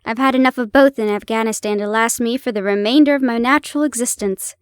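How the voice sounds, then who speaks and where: A woman's voice: on the high side, fast, clear, resonant tone, no room sound, studio quality, natural voice. A woman playing a weary army doctor. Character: weary male army doctor, seeking quiet lodgings.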